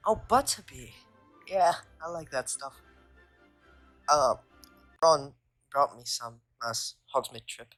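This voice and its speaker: A woman's voice: rumbling low, lively intonation, slow, long pauses, fairly bright tone, faint music behind, heavily acted. A woman playing an earnest, brooding teenage schoolboy wizard in an audio drama, blunt and a little hesitant. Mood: casual, hesitant. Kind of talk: fan audio drama acting.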